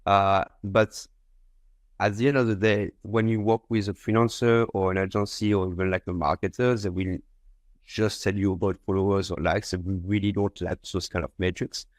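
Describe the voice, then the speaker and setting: A man's voice: sits low, little pitch movement, normal speed, no room sound. A man from France with a French accent, explaining his business conversationally as an interview guest. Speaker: company founder, podcast guest.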